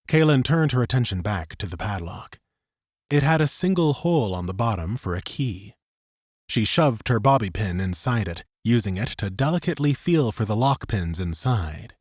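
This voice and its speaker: Casting: male audiobook narrator, lowish voice, scripted story narration, close dry sound. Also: dry recording.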